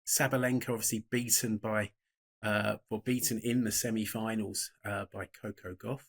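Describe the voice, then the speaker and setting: A man hosting a tennis betting podcast, reading a brisk intro and then chatting in a relaxed, self-deprecating way. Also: lowish voice. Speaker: podcast host.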